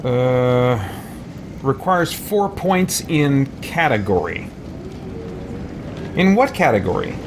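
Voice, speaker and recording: A man's voice: medium pitch, very animated, slow, pauses often. A man giving casual, rambling gameplay commentary with exasperated exclamations. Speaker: let's play host. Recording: noisy background from game audio.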